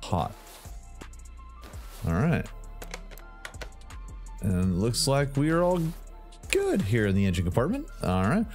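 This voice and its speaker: A man's voice: medium pitch, wildly swooping pitch, slow, long pauses, darkish tone. A man hosting a gaming channel, giving playful commentary while fixing up a hot rod, breaking into silly sound effects and bits of song. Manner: goofy, sings and makes silly sound effects. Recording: faint game music behind.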